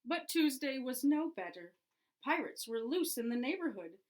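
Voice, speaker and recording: Woman, higher-pitched voice, very lively intonation, children's read-aloud, expressive teacher-style storytelling. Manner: animated storyteller, pauses to ask young listeners questions.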